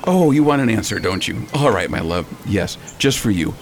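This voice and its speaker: A man's voice: lowish voice, big pitch swings, normal speed, slight background noise. A man playing a jovial, indulgent wealthy fiancé in a radio drama, affectionate in manner. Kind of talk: amateur radio drama acting.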